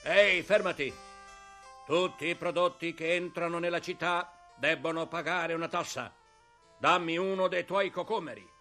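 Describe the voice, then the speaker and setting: A man's voice: on the high side, normal speed, sharp tone, faint music behind. A man playing a greedy, bossy toll clerk, blustering and mocking, in a children's radio fairy tale.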